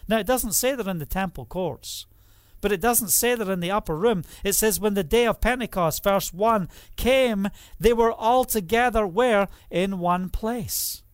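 A man's voice: high voice, a little slow, clear, resonant tone, dry recording, clean studio sound. A middle-aged man with a Scottish accent preaching a sermon, reading scripture aloud and putting questions to the audience before answering them himself. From Scotland. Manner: reads scripture aloud then explains it.

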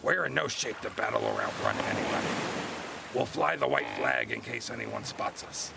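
A man playing a gruff, scheming captain of an old sailing ship in an audio drama, with commanding, salty seafaring speech. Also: rumbling low, huge pitch swings, normal speed, very noisy, heavily acted. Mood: guarded, stern. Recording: loud ship and sea ambience behind.

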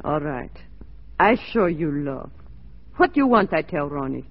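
A woman's voice: low voice, very animated, measured pace, heavily acted. An actress playing a jealous, lovestruck young frontier woman in broken English, pleading and goading in 1940s radio style. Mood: sullen, resolved. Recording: tinny, band-limited 1940s radio recording.

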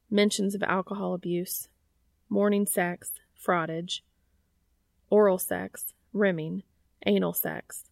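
A woman's voice: mid-range voice, creaky, a little mellow, no room sound, studio quality. A woman who hosts a podcast and reads fiction aloud as scripted audiobook narration. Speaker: podcast host and fiction reader.

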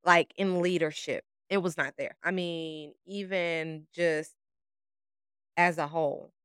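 Candid woman with a smooth voice. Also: lowish voice, fairly even intonation, slow pace, a bit bright, no echo, studio quality. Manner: candid, storytelling with quoted dialogue. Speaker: podcast co-host. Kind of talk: casual conversation.

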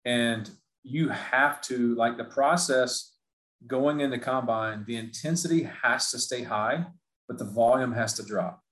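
A man's voice: mid-range voice, unhurried, fairly bright tone. Earnest male coach in an echoey room. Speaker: performance coach. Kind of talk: panel discussion. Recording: roomy echo.

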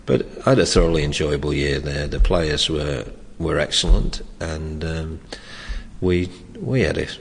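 A man's voice: very deep voice, measured pace, vocal fry. An older man, a former soccer coach, talking in an interview. He speaks hesitantly, restarting his sentences. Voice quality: loud voice. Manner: hesitant, with many restarts and chuckles. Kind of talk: reflective oral history interview. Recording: long reverb, faint background noise.

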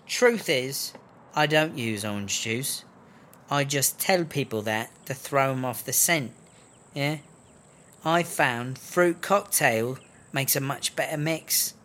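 A man's voice: mid-range voice, slow, sharp tone. A man playing a crafty, foul-mouthed female prison wine brewer with a British accent, chummy and wheedling, in a comedy audio drama. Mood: chatty, sly.

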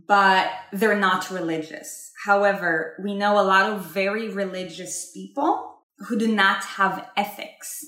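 A woman's voice: medium pitch, pushed and bright, echoey room. A woman from Israel co-hosting a casual talk about spirituality, emphatic and repeating herself as she addresses listeners directly.

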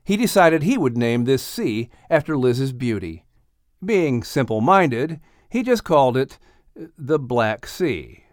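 A man reads a children's story as its narrator. His mid-pitched voice moves expressively across a wide range, recorded close with no room sound. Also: sing-song, a little slow, no room sound. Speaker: audiobook narrator.

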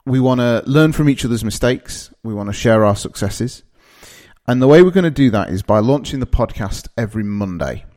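A man's voice: mid-range voice, expressive, a little fast, darkish tone. A man with a northern English accent, in his thirties, introducing his podcast in a loose conversational way, with frequent fillers and restarts. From northeast England. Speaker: podcast host.

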